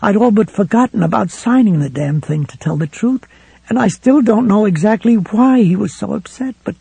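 A man's voice: sits high, animated, normal speed, gravelly, mellow, breathy edge, no room sound, full character voice. Man voicing a frightened, tearful young mother. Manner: fretful, pleading, rising with fear.

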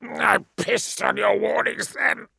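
A man's voice: high-pitched, big pitch swings, slow, gravelly, bright, forceful tone, slightly rough, no echo, clean studio sound, voice pushed up, exaggerated voice. A man voicing a dying grey-haired warlord priest who wheezes through his wounds and screams in a bitter, defiant tirade. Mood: raging.

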